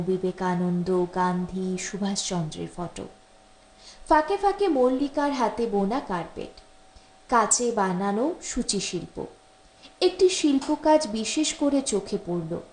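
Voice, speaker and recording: A woman's voice: mid-range voice, a little mellow, slight background noise. A woman reading a literary story aloud as an audiobook narrator. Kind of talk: expressive literary story narration with acted dialogue. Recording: roomy, echoing voice recording.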